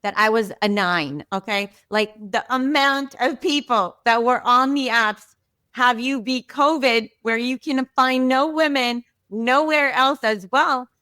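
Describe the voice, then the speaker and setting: A woman guest telling a story in a casual, animated way, full of fillers and asides, from Israel. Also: on the high side, unhurried, smooth, no echo. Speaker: podcast guest.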